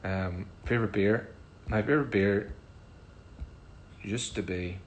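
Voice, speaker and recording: A middle-aged man with a Northern Irish accent, casually answering fan questions in a relaxed, chatty way with frequent ums. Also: fairly low pitch, unhurried, highs cut off, slight background noise. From Northern Ireland. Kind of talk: casual livestream Q&A.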